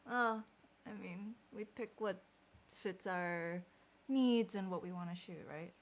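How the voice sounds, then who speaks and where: A woman's voice: lowish voice, takes it slowly. A young woman raised in Malaysia and the Philippines chatting casually and playfully with her partner, often finishing his sentences. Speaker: co-host, partner.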